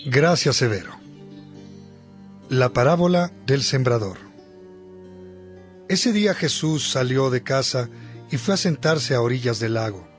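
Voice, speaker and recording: Actor as Faustino, solemn scripture reader. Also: male voice, medium pitch, expressive, measured pace, pauses often, faint music behind, lightly acted. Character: Faustino, solemn male cleric reading scripture aloud. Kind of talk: scripture reading in radio drama.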